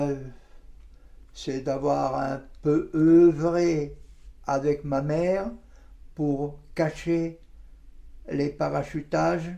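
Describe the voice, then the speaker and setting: Slow, creaky-voiced very old man. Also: on the high side, mellow. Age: 90s. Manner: halting, repeats phrases, colloquial. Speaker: interviewee, war witness. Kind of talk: reminiscing testimony in interview.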